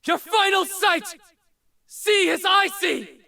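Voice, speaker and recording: A crazed battle mage in a video game, yelling commands way up high, sharp and dramatic, sounds a bit far off. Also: male voice, slow, creaky, exaggerated voice. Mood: intense, frenzied. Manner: shouted, dramatic incantation.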